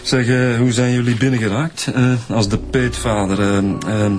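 A man's voice: mid-range voice, fairly even intonation, normal speed, natural voice. A man playing a grumbling, laid-back police detective with a Flemish accent. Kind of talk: radio drama acting. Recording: music under dialogue.

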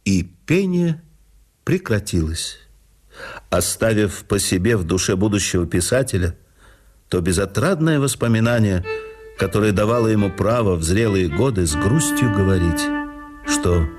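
Guy reading old memoirs for a radio audiobook, voice rises and falls a lot, slow careful phrasing. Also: lowish voice, animated, darkish tone, faint music behind. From Russia. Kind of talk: scripted narration of a radio audiobook.

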